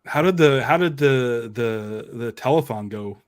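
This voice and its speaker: A man's voice: medium pitch, expressive, unhurried, no echo, clean studio sound. Male podcast host, middle-register voice, loose conversational delivery. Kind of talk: casual chat.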